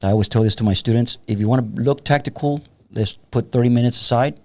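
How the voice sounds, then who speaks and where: A man's voice: mid-range voice, fast, darkish tone. A man in his fifties from San Antonio, a guest and tactical trainer talking in a wry, plain-spoken way on a podcast. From San Antonio, Texas. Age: 50s. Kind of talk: casual podcast conversation.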